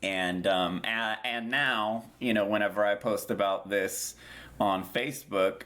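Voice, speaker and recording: A man's voice: fairly low pitch, steady tone, measured pace, fairly bright tone. A man in his thirties co-hosting a podcast, chatting casually and rambling with lots of ums and restarts. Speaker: podcast co-host. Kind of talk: casual chat.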